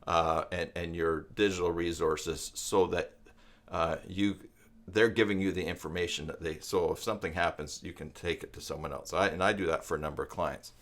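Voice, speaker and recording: A man hosting an informal live stream tutorial, explaining things step by step in a halting way as he thinks aloud. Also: sits low, fairly even intonation, a bit bright. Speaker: live stream host and web tutorial presenter. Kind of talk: informal unscripted tutorial talk to viewers.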